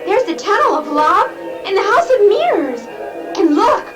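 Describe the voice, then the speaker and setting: An eager, cheeky young niece acted by a woman in a 1950s radio comedy. The voice is extremely high and animated, gushing with excitement. Loud music plays behind. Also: moderate pace. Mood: excited.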